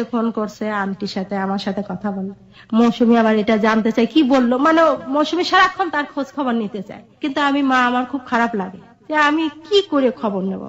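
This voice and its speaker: High-voiced Bangladeshi woman, muffled recording. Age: middle-aged. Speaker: guest telling her life story. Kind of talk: personal storytelling in an interview. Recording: dull, muffled lo-fi audio.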